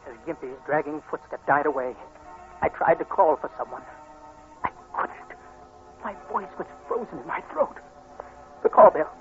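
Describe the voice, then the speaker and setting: A man's voice: on the high side, animated, moderate pace, long pauses, faint music behind. An actor playing an anxious, haunted blind man in a tense, dramatic 1940s radio suspense play, also narrating in first person. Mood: rising terror. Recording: dull, noisy 1940s radio recording.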